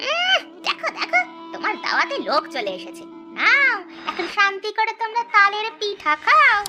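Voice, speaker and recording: A woman's voice: very high voice, very animated, normal speed, over loud music. A woman voicing a spiteful, sulky young village wife in a children's cartoon, petulant and sarcastic. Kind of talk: Bengali children's cartoon voice acting.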